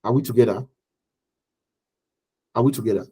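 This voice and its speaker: Husky Nigerian man teaching. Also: medium pitch, normal speed, mellow. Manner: repeats phrases for emphasis. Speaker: teacher leading an online study group. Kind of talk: informal live lecture with check-in questions.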